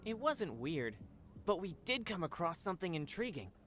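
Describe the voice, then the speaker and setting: An actor playing an enthusiastic, dramatic young male student in a video game, excitable in manner. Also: high-pitched, normal speed, soft background music. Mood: shaken, dramatic.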